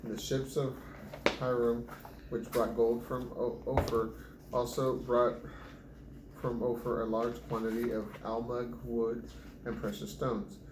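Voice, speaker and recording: A man reading a Bible chapter aloud for his family, steady but often stumbling and restarting words, recorded at home. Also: mid-range voice, measured pace. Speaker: Bible reader. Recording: echoey room with background noise.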